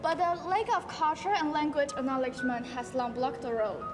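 A teenage girl plays an idealistic young woman doctor who explains things earnestly, with a Taiwanese accent. Her voice sits high. It echoes across an auditorium with music playing behind. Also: fairly even intonation, moderate pace, lightly acted. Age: teens. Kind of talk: school stage play. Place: large school auditorium stage.

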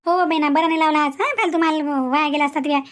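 A woman's voice: high voice, steady tone, quick pace, lightly acted. A woman playing a chatty, grumbling village housewife in a comic story, with a rural Vidarbha Marathi accent and folksy, exclamatory, repetitive talk.

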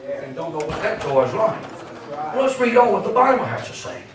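A man's voice: sits high, big pitch swings, a little fast, a crowd in the background. Male Pentecostal preacher, fifties, fervent and emphatic, distant heavily echoing church sound. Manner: fervent, emphatic, punctuated with amens. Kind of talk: Pentecostal sermon. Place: church sanctuary, at and around pulpit. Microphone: handheld microphone. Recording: very echoey church hall, from far off.

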